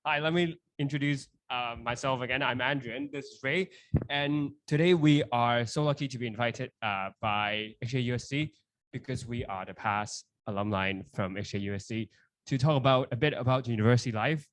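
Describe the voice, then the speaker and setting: A man in his twenties co-hosting a podcast at a live university event, upbeat and quick to laugh and finish his partner's sentences. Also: mid-range voice. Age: 20s. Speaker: podcast co-host. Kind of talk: casual chat at a live event.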